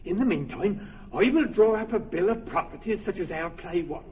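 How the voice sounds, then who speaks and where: A fussy, earnest carpenter-director. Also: male voice, high voice, lively intonation, normal speed, darkish tone. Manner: earnest, persuasive, organizing. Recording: dull lo-fi radio recording with hall reverb.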